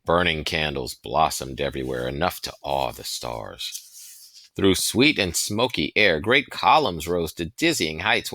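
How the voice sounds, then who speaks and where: A man reading a historical novel aloud as scripted narration, with deliberate, dramatic phrasing and stressed pauses. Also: deep voice, gravelly, bright, forceful tone, no room sound. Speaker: audiobook reader.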